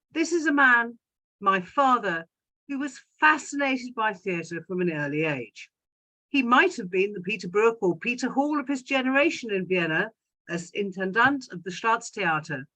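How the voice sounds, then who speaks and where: A woman's voice: mid-range voice, a bit bright, rough recording. A middle-aged or older woman, a guest speaker, reading a scripted narrative talk aloud.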